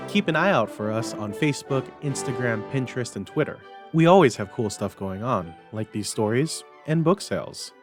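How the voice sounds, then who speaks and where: A man narrating a classic story as a dramatic reader, with expressive, emphatic delivery. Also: medium pitch, normal speed, few pauses, soft background music. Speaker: library staff story reader.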